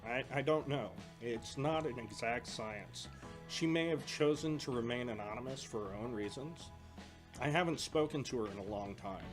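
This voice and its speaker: A man playing an earnest older brother who trains recruits at a military academy, explaining things in a measured way in an audio drama. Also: mid-range voice, over music, lightly acted. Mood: hesitant, reassuring.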